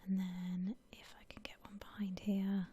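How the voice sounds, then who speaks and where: A woman whispering softly in a gentle, unhurried, musing way, as in ASMR commentary on a game she is playing. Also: mid-range voice, monotone, slight background noise. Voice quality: soft breathy whisper. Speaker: gaming ASMR host.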